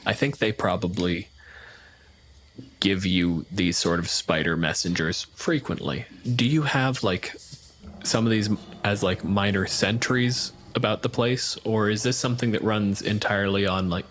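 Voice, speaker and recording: Male game master with a lowish voice, casual and inquisitive, faint noise behind. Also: unhurried, a bit bright. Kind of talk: tabletop game narration and questions.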